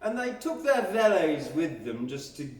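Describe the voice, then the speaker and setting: A man reciting a bush ballad aloud as a poetry reader, with a deliberate, emphatic storytelling delivery. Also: a little high, big pitch swings. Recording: echoey hall, distant mic.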